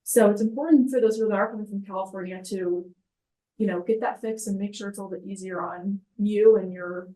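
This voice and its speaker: A woman's voice: medium pitch, steady tone, a little fast, warm tone. A woman co-hosting a podcast, adding context in a conversational way. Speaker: podcast co-host. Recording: echoey room.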